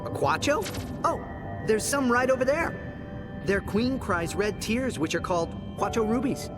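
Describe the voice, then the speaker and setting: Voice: medium pitch, animated, normal speed, natural voice. An actor playing a cheerful, laid-back young male athlete who is helpful and carefree, in a video game cutscene. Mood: matter-of-fact. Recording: loud music behind the voice.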